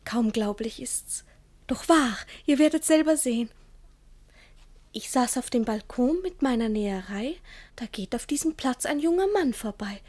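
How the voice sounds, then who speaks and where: A woman's voice: on the high side, lively intonation, unhurried, a little mellow. An actress with speech playing a naive, candid young girl in a rhymed verse radio play, guileless and earnest. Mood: artless, eager.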